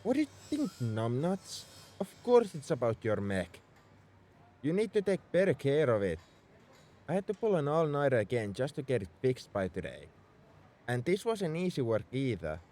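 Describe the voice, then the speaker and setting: A man's voice: mid-range voice, sing-song, moderate pace, a little mellow, close to an everyday voice. A man playing a tsundere mech engineer in a roleplay audio drama, scolding and sarcastic with worry underneath. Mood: gruff, defensive. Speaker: voice actor.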